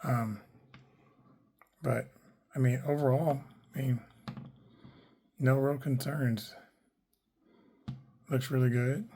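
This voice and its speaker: A man with a hoarse, worn voice, chatting casually through a toy unboxing, rambling with lots of fillers and self-corrections. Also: medium pitch, fairly even intonation, slow, pauses often, warm tone. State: hoarse, losing his voice. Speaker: toy collector reviewing action figures.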